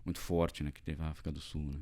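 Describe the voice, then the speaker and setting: Brazilian man, podcast guest, very low voice, rambling with fillers. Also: little pitch movement, fast talker, a little mellow. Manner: rambling, many fillers and tag questions. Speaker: podcast guest, tax executive. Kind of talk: casual interview chat.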